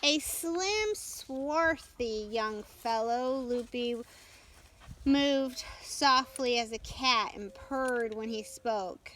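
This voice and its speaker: A woman reading a storybook aloud as narrator, carefully and haltingly, with stumbles and self-corrections. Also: a little high, fairly bright tone, a little hiss or hum. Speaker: storytime reader.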